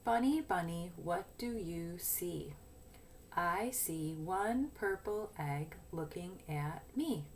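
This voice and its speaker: A woman teacher giving a lesson and read-aloud for young children, explaining simply and deliberately, step by step. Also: on the low side, expressive, slight background noise. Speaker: early primary school teacher.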